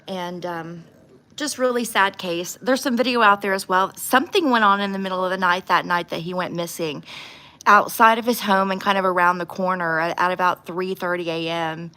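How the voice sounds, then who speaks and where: A woman with a slightly low voice hosts a casual livestream. She speaks informally and frankly, offering her own opinions in a chatty, conversational way. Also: normal speed, pushed and bright, low-quality recording. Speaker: livestream host doing nail art. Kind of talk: casual livestream chat with true crime commentary.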